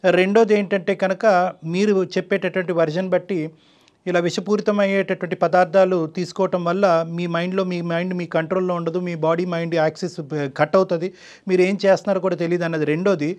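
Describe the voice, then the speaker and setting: A man's voice: high-pitched, little pitch movement, quick pace, darkish tone. A man giving earnest, emphatic counselling advice at length on a talk show, mixing in English phrases. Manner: emphatic, long-winded, mixes in English phrases.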